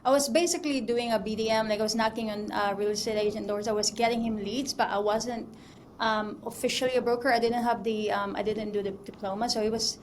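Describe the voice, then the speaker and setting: Chatty woman from the Philippines, bright pitch. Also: fairly even intonation, fast talker, slight background noise. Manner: chatty, many fillers and restarts. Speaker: mortgage broker guest. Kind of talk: casual interview chat.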